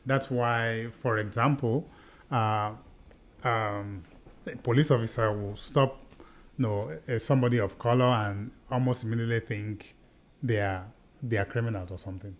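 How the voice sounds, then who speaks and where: A man's voice: mid-range voice, steady tone, moderate pace. A middle-aged man with a Nigerian accent who grew up in Nigeria. He co-hosts a podcast and tells stories earnestly, often repeating and restarting his phrases. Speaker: podcast co-host. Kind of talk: conversational podcast discussion.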